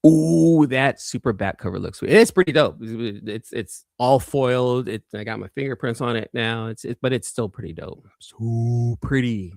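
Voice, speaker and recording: A man's voice: medium pitch, normal speed, vocal fry, few pauses, darkish tone, dry recording, clean studio sound. A man hosting a live collecting show, chatting casually and enthusiastically in informal slang, often repeating phrases for emphasis. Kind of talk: casual live-stream chat showing off comics.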